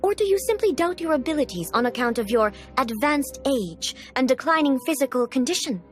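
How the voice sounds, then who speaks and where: A woman's voice: high voice, expressive, brisk. A woman voicing a stern, commanding female Shogun in a video game, speaking formally and bluntly. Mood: cold, commanding. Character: imperious, stern, almighty female Shogun ruler. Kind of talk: scripted video game character acting.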